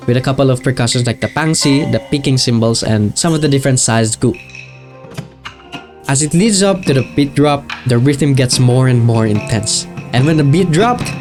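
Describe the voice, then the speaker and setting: A man's voice: mid-range voice, a little mellow. A man narrating a video essay in a casual, enthusiastic and informal way. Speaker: video creator. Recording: music behind the voice. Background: music with guitar.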